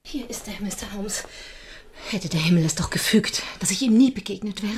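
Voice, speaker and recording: An actress playing a desperate aristocratic wife in a radio drama, with formal, breathy, anguished delivery. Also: female voice, mid-range voice, animated, fairly quick, slight background noise, lightly acted. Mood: despairing. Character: desperate aristocratic lady, wife pleading for her secret. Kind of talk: German radio drama acting.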